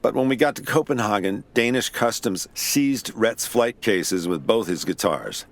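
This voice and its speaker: A man's voice: fairly low pitch, expressive, unhurried, creaky. An actor playing a weary, wry investigator who narrates his own case in an audio drama. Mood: wry, matter-of-fact. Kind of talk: first-person noir-style audio drama narration.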